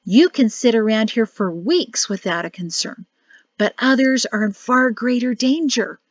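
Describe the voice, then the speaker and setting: A woman with a rough, crackling voice voicing an anxious, exasperated wife who talks tense and impatient. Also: a little high, unhurried, dry recording, lo-fi sound.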